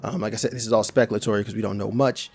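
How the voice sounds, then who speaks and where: A man's voice: lowish voice, steady tone, very fast, darkish tone, husky, soft background music. A young adult man giving casual, rambling video commentary full of restarts and slang. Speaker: YouTube commentator.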